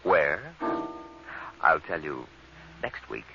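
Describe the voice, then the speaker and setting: A man narrating a teaser in an ominous, deliberate 1950s radio style. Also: very deep voice, big pitch swings, vocal fry. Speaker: program host narrator. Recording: dull vintage radio recording. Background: music.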